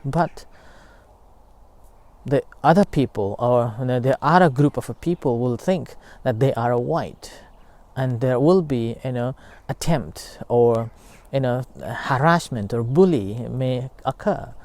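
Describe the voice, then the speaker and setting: A middle-aged man speaks as a meditation teacher in a warm, mellow voice. His comes slowly, full of pauses and restarts, as he reflects aloud. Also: medium pitch. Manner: halting, many fillers and restarts. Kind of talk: unscripted dharma talk.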